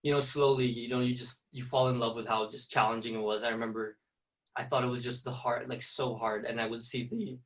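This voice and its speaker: Young man from the Philippines, flat soft voice, set back from the mic, casual. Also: mid-range voice, a little fast, recorded from a distance, poor audio. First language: Tagalog. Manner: soft-spoken, many fillers and "you know". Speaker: ballet dancer, guest. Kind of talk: casual interview.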